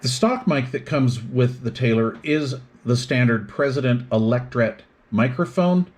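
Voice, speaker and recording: Male product reviewer, mid-pitched, methodical explainer walking through features in short, itemized phrases. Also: animated, unhurried, a little mellow. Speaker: product reviewer demonstrating radio gear.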